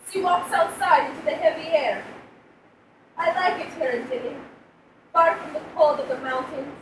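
A woman in her thirties giving a measured, dramatic reading of a first-person short story to a live room. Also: high-pitched. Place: live reading in a brick-walled gallery cafe. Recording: roomy, distant mic, background noise.

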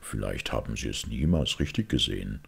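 A man voicing a grave, thoughtful priest detective who explains things in a measured, sombre way in an audiobook. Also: extremely low pitch, lively intonation, vocal fry, husky, heavily acted. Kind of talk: audiobook character acting.

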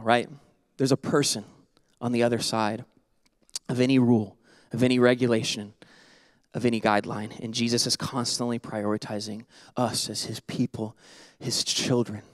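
A man in his thirties preaching a sermon on a church stage into a handheld mic, animated and joking, then dropping to soft earnest tones. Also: mid-range voice, normal speed, no room sound, studio quality. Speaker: pastor.

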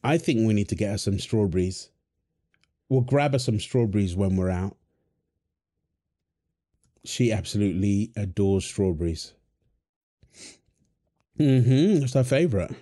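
A man speaks slowly, easing through each line without rushing. His tone is soft and mellow, with no edge to it. He performs a wholesome audio roleplay as a teasing husband and a fond dad who coos to a baby. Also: fairly low pitch, expressive.

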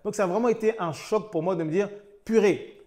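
A man's voice: high voice, brisk, a little mellow. A man in his thirties, from France and raised in Côte d'Ivoire, speaking straight to the viewer as an online business coach, with frequent questions to the listener and casual restarts. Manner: addresses viewer informally, with repeated questions and restarts. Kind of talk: direct-to-camera motivational talk.

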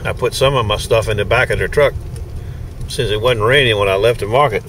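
A man's voice: fairly low pitch, fairly quick, fairly bright tone. An older man giving folksy, casual running commentary on his knife-sharpening work at an outdoor farmers market, recorded on a handheld camera, laughing often. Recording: faint outdoor noise.

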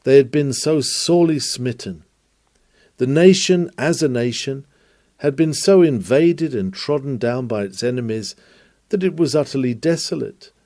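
A man reads a sermon aloud in an earnest, exhorting manner, with formal, measured oratorical phrasing and searching rhetorical turns. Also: medium pitch, animated, a little mellow, dry recording, studio quality. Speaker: reader of a historic sermon.

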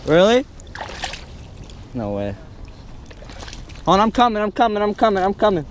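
A young man filming himself fishing on a riverbank, chatting excitedly with friends and swearing as fish are landed. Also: high-pitched, moderate pace, a little hiss or hum. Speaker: angler filming his fishing trip. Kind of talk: casual outdoor banter. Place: riverbank outdoors. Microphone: body-worn action camera.

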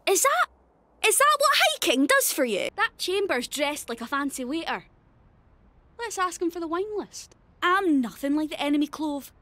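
A cheeky young Scottish immortal from a video game. The voice sits high and swings about freely, playful and irreverent, in a dry close recording. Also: female voice, bright, forceful tone, no room sound, heavily acted. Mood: excited, admiring, then brisk, wry. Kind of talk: video game character voice lines.

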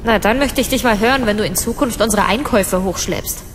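A woman's voice: mid-range voice, a little fast, background noise, lightly acted. A young actress playing a sceptical, sarcastic young mother in naturalistic audio drama dialogue. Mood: dry, teasing. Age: young adult. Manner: dry, teasing sarcasm. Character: sceptical, sarcastic young mother apartment-hunting with her boyfriend. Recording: studio audio drama with music underneath.

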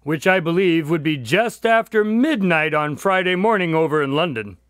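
An actor plays a polite, genial male doctor telling stories and chatting in a radio drama. His voice sits fairly high for a man and swings expressively. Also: lively intonation, moderate pace, a bit bright, clear, resonant tone, no echo, clean studio sound. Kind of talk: audio drama dialogue and narration.

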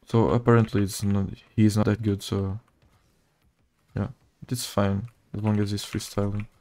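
A gamer with a Portuguese accent chats casually over his own play. His voice is a man's, on the low side, with a soft, mellow tone. He keeps it flat and low-key, as if half talking to himself. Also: normal speed, no echo. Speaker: gaming video creator. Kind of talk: casual gameplay commentary.

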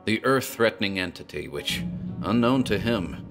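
A man's voice: on the low side, expressive, normal speed, gravelly, over music. A man narrating a horror tale in a theatrical, storytelling manner, as a tavern landlord.

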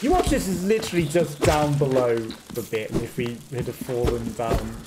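A middle-aged man giving casual let's play commentary in halting, clipped phrases. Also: medium pitch, animated. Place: indoors at home, over gameplay. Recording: noisy home recording with clicks.

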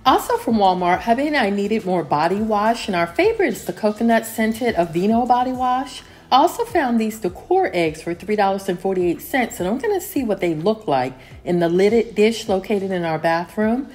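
A woman narrating a home cleaning vlog as a calm, scripted voice-over, steady and homey, with lines that fall at the end. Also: mid-range voice, normal speed, few pauses. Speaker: cleaning vlogger.

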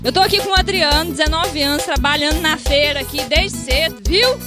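High, fast, bright-voiced woman reporter. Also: over loud music. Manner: pointed, rhetorical questioning. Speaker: street reporter. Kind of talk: street interviews. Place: outdoor night street market. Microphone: handheld mic.

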